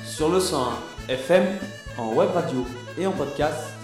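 Man, jingle, fast chanting, heavy echo, accordion music behind. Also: on the high side. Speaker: radio station jingle announcer. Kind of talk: radio jingle.

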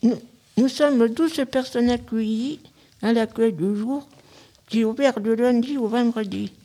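High, mellow woman reading statements. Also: measured pace. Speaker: radio show participant. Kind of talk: reading prepared statements aloud.

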